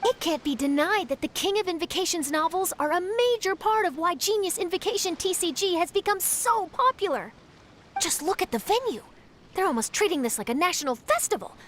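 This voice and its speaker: A woman's voice: very high-pitched, animated, fairly quick, a bit bright, a little hiss or hum. A woman voicing an eager, polite and talkative young female journalist in a video game. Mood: enthusiastic, chatty. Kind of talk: video game character dialogue. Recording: music behind the voice.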